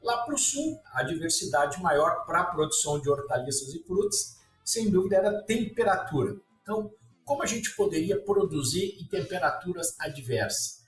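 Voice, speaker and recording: A man in his sixties with a Gaúcho accent from southern Brazil, telling his story as a guest in a relaxed interview. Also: high-pitched, moderate pace. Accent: Gaúcho Portuguese. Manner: relaxed, detailed storytelling with lists and restarts. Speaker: guest researcher. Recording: echoey room, somewhat far from mic, faint music.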